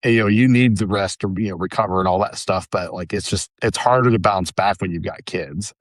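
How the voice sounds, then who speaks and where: A man's voice: low voice, a little fast, no room sound, clean studio sound. A man co-hosting a podcast in casual chat, easygoing and chatty. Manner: easygoing, chatty, many fillers like "like" and "dude". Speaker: podcast co-host.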